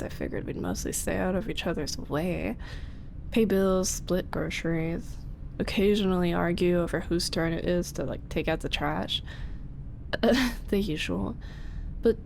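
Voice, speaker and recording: A young woman voice actress playing a flustered roommate who secretly makes ASMR videos, speaking softly and slipping into whispers. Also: on the low side, gravelly, a little hiss or hum. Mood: fond teasing. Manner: soft-spoken, drifting into whispers. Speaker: voice actress in audio roleplay. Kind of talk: ASMR roleplay.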